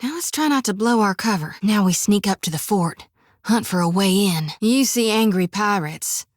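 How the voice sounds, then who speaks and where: A woman's voice: medium pitch, expressive, normal speed, no room sound, studio quality, natural voice. An actress voicing a folksy young woman priest who carries a soul lantern in a video game, talking in a plainspoken drawl. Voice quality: folksy drawl, full of "reckon" and "ain't". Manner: plainspoken, earnest, sometimes wry. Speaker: game companion character. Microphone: close studio mic.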